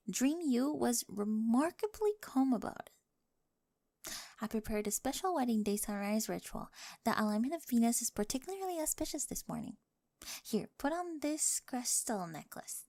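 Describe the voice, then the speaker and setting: A woman plays a quirky young bride, devoted to the point of obsession. Her voice is high and soft, often dropping to a whisper, close and dry. She speaks with nerdy, analytical precision in an ASMR roleplay. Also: no room sound. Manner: precise, analytical, jargon-heavy speech. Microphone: close, dry microphone.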